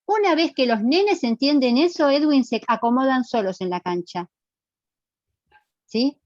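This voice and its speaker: High-voiced Argentine woman lecturing. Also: lively intonation, measured pace, no room sound, lo-fi sound. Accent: Rioplatense Argentine. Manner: didactic, emphatic, checks understanding with short questions. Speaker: physical education lecturer. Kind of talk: classroom lecture to students.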